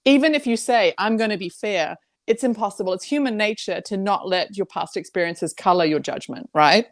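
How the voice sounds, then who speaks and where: A woman chatting casually over a video call, opinionated and quick to laugh, with many fillers. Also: medium pitch, no echo, clean studio sound. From Germany. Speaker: co-host guest commentator.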